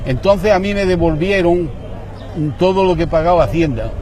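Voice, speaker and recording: A man's voice: high voice, moderate pace, a little mellow, some chatter behind, slight background noise. Older Spanish man, indignant and rambling. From Spain. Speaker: returned emigrant. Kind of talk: casual street chat.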